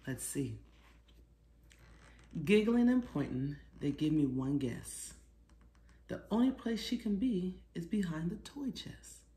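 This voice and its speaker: A middle-aged woman reading a children's storybook aloud expressively, pausing to put questions to her young listeners. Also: fairly low pitch, big pitch swings, warm tone. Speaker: children's author reading her own book. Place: small living room, seated on a sofa. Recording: roomy indoor echo.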